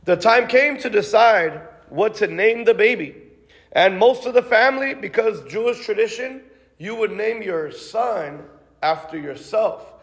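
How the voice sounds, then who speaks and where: A man's voice: high voice, animated, measured pace, a bit bright. A man preaching a sermon and praying aloud in a casual, conversational way, full of restarts, with "God" and "Lord" tags. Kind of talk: sermon with spoken prayer. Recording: long reverb of a large hall.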